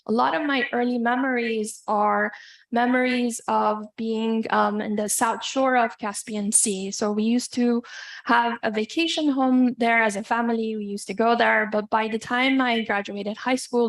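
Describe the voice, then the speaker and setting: A woman from Iran speaks as a guest lecturer presenting research. Her voice sits fairly high and often drops into strong creak. She goes in a measured, hesitant way, with frequent pauses as she picks her phrasing. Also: fairly even intonation, poor audio. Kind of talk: academic lecture with slides.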